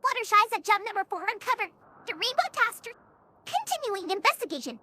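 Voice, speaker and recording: A woman voicing an excitable young female pony who records investigation notes like a self-important amateur detective in an audio story. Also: very high-pitched, a little fast, background noise. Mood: eager, secretive.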